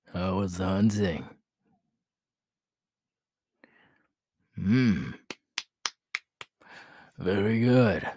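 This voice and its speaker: A cocky, cruel young werewolf king, acted by a man for an ASMR roleplay. His voice is very low and heavily breathy, often falling into a husky whisper. He takes his time, teasing and possessive, with menace under it. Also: darkish tone, dry recording, clean studio sound, exaggerated voice. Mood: smug, teasing. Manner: slow, teasing, menacing drawl.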